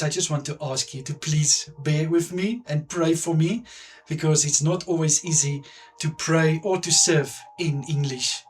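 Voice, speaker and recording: A man's voice: fairly high pitch, rough recording. A man with an Afrikaans accent, whose first language is Afrikaans, leading a spoken prayer in an earnest, fervent way, swelling with emphasis on his petitions. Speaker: prayer leader.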